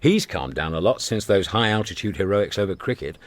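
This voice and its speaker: An actor playing a brash, blasé alien hitchhiker in a radio comedy, with a British accent and an offhand, sardonic, rambling manner. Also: male voice, deep voice, animated, fairly quick, no echo. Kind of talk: radio comedy drama acting.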